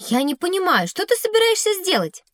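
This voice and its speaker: A woman's voice: very high voice, a little fast, no echo, clean studio sound. A woman playing an inquisitive, teasing young schoolgirl in an educational audio play. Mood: puzzled. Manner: expressive, girlish, questioning.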